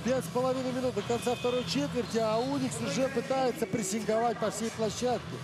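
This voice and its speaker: A man giving expert color commentary on a live basketball game, analytical and halting, with frequent fillers. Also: very high-pitched, steady tone, a loud crowd.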